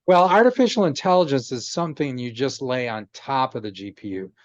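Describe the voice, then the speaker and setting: A man of ordinary pitch talks slowly through a technical point, pausing with fillers and restarting his sentences. His voice comes over a dry, dull-sounding video call with no room echo. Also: animated. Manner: patient, explanatory, many fillers and restarts. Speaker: guest explaining chip technology. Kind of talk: casual chat on a video call. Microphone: video call microphone.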